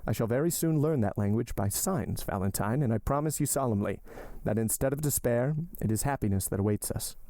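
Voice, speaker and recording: A man's voice: medium pitch, brisk, hardly any pauses, mellow, dry recording, natural voice. A man voicing a resolute, ardent young man. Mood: earnest. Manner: earnest, solemn delivery. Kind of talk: audiobook character voice.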